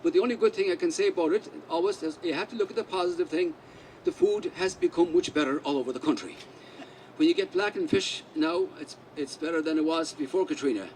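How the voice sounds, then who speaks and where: A man's voice: on the high side, lively intonation, quick pace, a little mellow, recorded from a distance, a little hiss or hum, rough recording. An Irish man whose first language is Irish Gaelic, a musician telling rambling anecdotes at a press conference. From Ireland.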